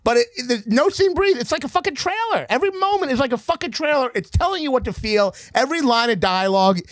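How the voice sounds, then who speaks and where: A young man co-hosting a casual movie podcast, talking in an excited, rambling way and laughing often. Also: very high-pitched, fast, no room sound. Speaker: podcast co-host.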